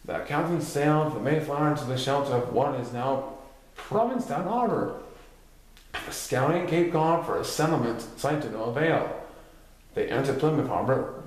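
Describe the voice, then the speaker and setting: Man reading a story in a very echoey room. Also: mid-range voice. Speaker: reader. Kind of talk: scripted story narration, reading aloud.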